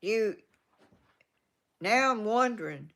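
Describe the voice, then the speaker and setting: A woman's voice: medium pitch, animated, slow pace, creaky, a bit bright. A woman in her eighties with a Georgia Southern accent, chatting in a rambling way, trailing off and restarting as she reads comments to her viewers. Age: 80s. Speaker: vlogger reading viewer comments. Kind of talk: casual rambling chat.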